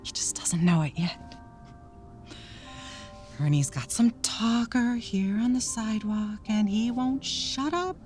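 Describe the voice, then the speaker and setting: An actress playing a playful, restless 1930s wife chattering to herself in a period audio drama, singsong and coy, drifting into murmurs. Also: female voice, fairly high pitch, animated, takes it slowly, pauses often. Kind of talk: period radio drama acting. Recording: loud music behind the voice.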